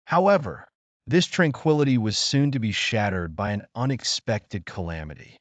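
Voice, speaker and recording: A man narrating a scripted children's story in a measured, earnest storybook manner. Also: fairly low pitch, expressive, dry recording.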